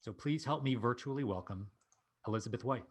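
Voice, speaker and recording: A man hosts an online meetup over a video call, running through upcoming events before introducing the evening's speaker. He talks in an easygoing, informal way with a few ums. His voice sits in a middle pitch. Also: brisk, slight background noise. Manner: relaxed, informal with fillers. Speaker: meetup host.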